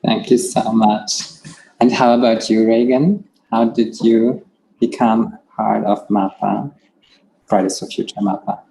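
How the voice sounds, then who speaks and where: A man's voice: lowish voice, fairly even intonation, a little slow, creaky, warm tone, slight background noise, low-quality recording. A man moderating a panel, asking friendly, slightly hesitant questions.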